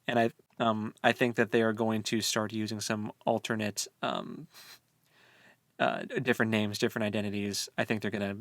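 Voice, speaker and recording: A man's voice: fairly low pitch, level tone, normal speed, fairly bright tone, no echo. A man co-hosting a book discussion in a casual chat style, thinking aloud in a hesitant way. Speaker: podcast co-host.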